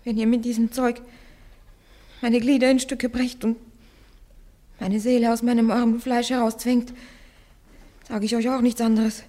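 Actress as defiant young peasant woman on trial, steadfast, fairly high voice, radio play. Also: flat delivery, normal speed, pauses often, warm tone, lightly acted. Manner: steadfast, unyielding.

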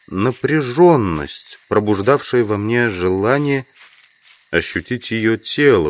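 An actor playing an educated, sceptical outsider in an audio drama, speaking in measured, literary phrases. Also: male voice, fairly low pitch. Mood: brooding. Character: educated man, reflective, sceptical outsider trapped in sand village. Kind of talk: audio drama acting with first-person narration.

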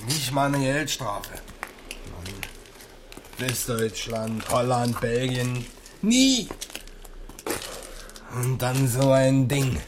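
An actor playing a shaken middle-aged long-haul trucker in a radio crime drama, speaking colloquial with a tinge, agitated and exclamatory. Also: male voice, mid-range voice, lively intonation, drawn-out words, pauses often. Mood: shaken, brooding. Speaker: truck driver. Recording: noisy 1980s radio play recording.

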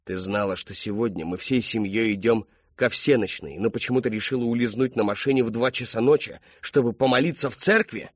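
A man's voice: low-pitched, fairly even intonation, measured pace, darkish tone, dry recording. Guy doing an angry middle-aged father, barks demands, accusing, audiobook, bit muffled. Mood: seething. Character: furious, domineering middle-aged father interrogating his daughter.

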